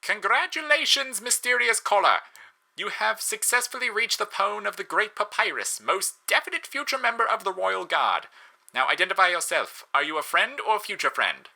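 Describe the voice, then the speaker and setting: A high, pompous skeleton from a storybook. He proclaims everything grandly, boasting about himself while eagerly courting friendship. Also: animated, moderate pace, gravelly, pushed and bright, heavily acted. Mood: excited, proud.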